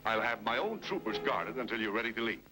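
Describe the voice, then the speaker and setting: Old radio western villain, power-hungry colonel, animated pitch, scheming, commanding, music behind. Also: male voice, quick pace, rough recording. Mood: flustered politeness. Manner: scheming, commanding, smooth-talking. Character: ruthless power-hungry colonel, male villain grabbing control.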